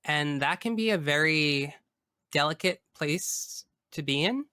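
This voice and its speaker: A man speaking as a guest in a casual interview, enthusiastic and emphatic in an expressive way. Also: fairly high pitch, measured pace, smooth, dry recording. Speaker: tabletop game master. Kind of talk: casual interview chat about personal experience.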